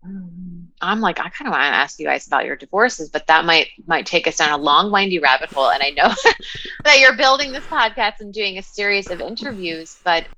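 Woman coach as podcast guest: lowish, bright, forceful voice, halting and reflective, thinking aloud. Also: animated, dull audio. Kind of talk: reflective conversational interview.

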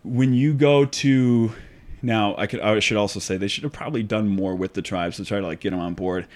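A man's voice: fairly low pitch, fairly quick, darkish tone. Emphatic American man talking casually. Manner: emphatic, conversational, often asks for agreement with "right?". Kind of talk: casual opinionated discussion.

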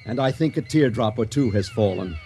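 A man's voice: fairly low pitch, lively intonation, a little fast, mellow, husky, faint music behind, heavily acted. A man acting as a theatrical, showman-like narrator of a science fiction radio drama. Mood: rousing, showman. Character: folksy, theatrical storyteller presenting a space tale.

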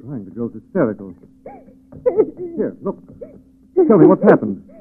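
An actor playing a cool, resolute gentleman sleuth in a 1940s radio drama, assured and urbane. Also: medium pitch, sing-song, a little slow. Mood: urgent concern. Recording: phone-like 1940s radio recording.

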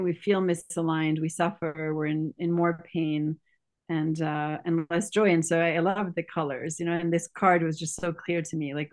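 A woman from France with a somewhat low voice speaks as a podcast guest. She is earnest and reflective in a casual way, often checking that her listeners agree. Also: darkish tone.

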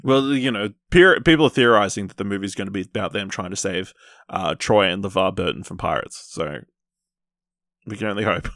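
A man's voice: lowish voice, a little fast, a bit bright, no echo, studio quality. A man co-hosting a podcast, chatting casually through film and TV news. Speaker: podcast co-host.

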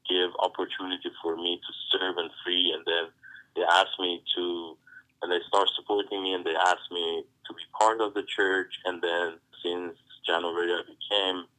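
A man from Iran with an Iranian accent, Farsi his first language, speaking as a pastor in an interview, halting and searching for words. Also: low voice, flat delivery, pushed and bright, low-quality recording. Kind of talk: interview answers.

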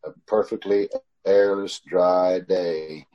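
A man's voice: low-pitched, fairly even intonation, slow, muffled recording. A man playing a haunted, uneasy motorist in an old-time radio suspense drama, recorded over a video call. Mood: brooding dread. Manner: brooding in narration, hesitant and stammering in dialogue. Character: haunted, uneasy male motorist narrating his ordeal. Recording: video call with clear background noise.

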